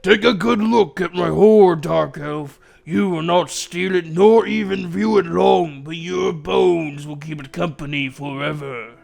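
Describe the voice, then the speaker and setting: Man voicing a haughty, menacing dragon, loud and unhurried. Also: high voice, gravelly, exaggerated voice. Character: huge sly dragon guarding its treasure hoard. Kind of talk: storybook villain voice.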